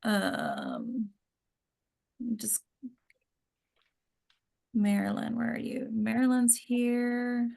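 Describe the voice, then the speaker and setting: A woman's voice: a little high, wildly swooping pitch, very slow, gravelly, pauses often, a little mellow. A woman hosting a table read, chatting casually and trailing off as she checks who has joined. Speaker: organizer and host of an acting table read. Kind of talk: casual chat while waiting for participants.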